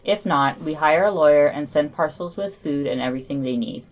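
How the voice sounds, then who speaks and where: A woman's voice: low voice, a little fast, darkish tone. A woman co-hosting a podcast, reading scripted news summaries with informal asides.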